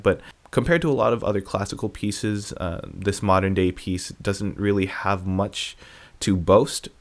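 A young man casually counting down a list of songs his viewers recommended, offhand and self-deprecating. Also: on the low side. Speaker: music reviewer ranking viewer song picks.

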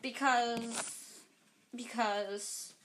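A nervous young schoolboy protests loudly in a high voice and stumbles over his excuses, as a woman reads him aloud. It is a storybook read aloud. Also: fairly even intonation, drawn-out words, slight background noise, low-quality recording. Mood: flustered.

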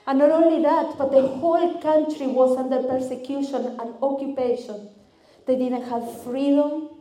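A woman's voice: high voice, normal speed. Argentine woman preaching in an echoey hall. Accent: Argentine Spanish. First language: Spanish. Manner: expressive, lively storytelling with vivid lists. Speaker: guest speaker. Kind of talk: sermon. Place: church hall.

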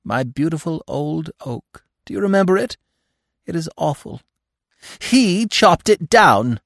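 A man voicing an excitable, gossipy little male squirrel with a shrill, eager voice. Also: unhurried, gravelly, no echo, clean studio sound, exaggerated voice. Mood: plaintive. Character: excitable chattering male squirrel, small and nosy.

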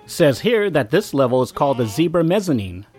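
Actor doing a clueless excitable buddy, highish voice, really animated, radio drama. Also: male voice, brisk, soft background music. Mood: puzzled. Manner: excitable, everyday talk. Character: naive, excitable guy among friends, obliviously cheerful. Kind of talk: audio drama acting.

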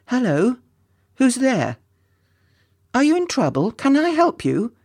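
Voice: medium pitch, lively intonation, unhurried, gravelly, darkish tone, dry recording, clean studio sound. A storybook reader voicing an earnest, kindly young groom boy who is timid but eager and a little hesitant. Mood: concerned, calling out.

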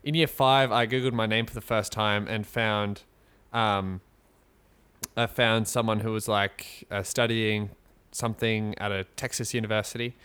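A man co-hosting a podcast in casual chat, rambling and joking with halting restarts. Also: lowish voice, steady tone, fairly bright tone, clear, resonant tone. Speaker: podcast co-host. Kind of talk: casual chat, mock sponsor read.

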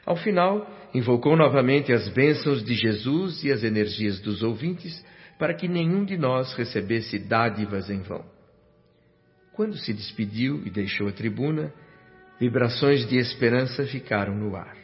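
An actor with a Brazilian accent playing a reflective doctor who narrates his memories in a measured, reverent, literary way for a radio drama. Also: medium pitch, lively intonation, natural voice. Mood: solemn, measured. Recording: dull lo-fi sound over music.

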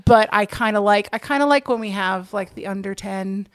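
A woman's voice: medium pitch, moderate pace, no echo. An American woman in a casual interview, telling stories animatedly and acting out quotes. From United States. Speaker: podcast guest, organizer of play readings.

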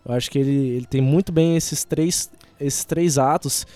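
A man's voice: fairly high pitch, normal speed, dark tone, dry recording, soft background music. A man with a Brazilian Portuguese accent chatting casually in a group discussion, insistent and repeating himself for emphasis. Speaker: panelist in a film discussion. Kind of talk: casual group chat about a film.

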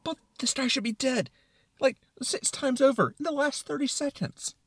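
A man's voice: extremely high pitch, normal speed, no echo, voice pushed up. A man voicing a worried, literal-minded brain that objects in disbelief in a comic skit. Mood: alarmed disbelief. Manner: concerned, incredulous objecting. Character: Brain, a fretful, literal-minded, protesting logical mind.

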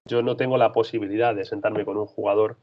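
A man's voice: lowish voice, fairly even intonation, quick pace, a little hiss or hum. A man who works as a freelance basketball reporter, answering interview questions in a thoughtful, rambling way with frequent restarts. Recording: dull lo-fi sound.

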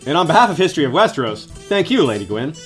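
A man's voice: on the high side, very animated, quick pace, faint music behind. A man speaking as a guest history expert, giving scripted, articulate explanations in an informative lecturing manner.

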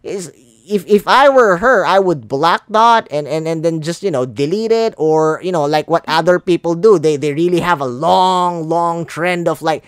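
A man's voice: fairly high pitch, moderate pace, clear, resonant tone, no echo, studio quality. A man hosting a podcast, a Filipino speaker of English as a second language, talking earnestly and encouragingly about love and positivity. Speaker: podcast host. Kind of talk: casual podcast interview.

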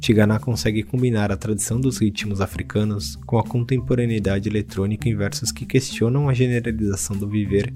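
A man's voice: lowish voice, fairly even intonation, fairly quick, few pauses. A Brazilian man hosting a podcast, reading a scripted introduction. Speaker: podcast host. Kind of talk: scripted narration introducing an artist. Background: guitar music.

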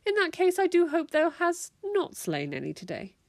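A woman's voice: very high-pitched, wildly swooping pitch, normal speed, no room sound, pitched above the performer's own voice, exaggerated voice. Storybook mother, playful, theatrical olde-worlde delivery, done by a woman reader, close and dry. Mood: mock-dramatic. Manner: theatrical, mock-medieval phrasing. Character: playful mother joining her children's medieval game.

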